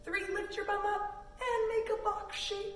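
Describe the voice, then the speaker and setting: A woman's voice: extremely high pitch, little pitch movement, unhurried. A woman leading a children's yoga storytime in an encouraging, instructive way with simple phrasing. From Canada. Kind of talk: guided kids' yoga class with storytime. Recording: roomy, distant mic with faint noise.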